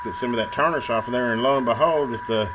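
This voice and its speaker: A man talks through bench repair work in a folksy, off-the-cuff way. His pitch sits in the middle for a man, and he thinks aloud as he goes. A loud hum and heavy noise run behind his voice. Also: fast. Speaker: radio repair technician and video host. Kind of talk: casual hands-on repair demonstration.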